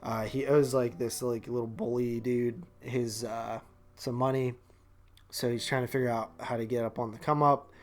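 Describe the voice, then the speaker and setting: A man's voice: medium pitch, flat delivery, normal speed. A man co-hosting a podcast, reviewing movies in a casual, rambling, slangy way. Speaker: podcast co-host.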